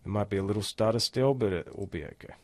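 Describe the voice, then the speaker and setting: Low-voiced man giving a casual tutorial. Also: fast talker, a little mellow, no echo. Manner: casual, step-by-step instructing. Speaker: tutorial instructor. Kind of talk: screen-recorded software tutorial, casual walkthrough.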